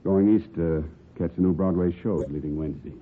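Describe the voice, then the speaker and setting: A man's voice: deep voice, steady tone, a little fast. A man playing a guarded, world-weary underworld informant who talks in laconic slang in an old radio crime drama. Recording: dull, slightly noisy vintage radio recording.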